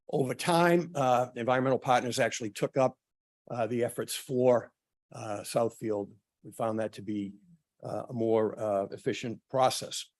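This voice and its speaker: A man addresses a forum with a voice that is starting to go hoarse, and he now and then clears his throat. His talk is halting and full of uhs and ums. His pitch sits in the middle range for a man. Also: a little mellow. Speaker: town official presenting at a public forum. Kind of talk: prepared remarks at a public meeting.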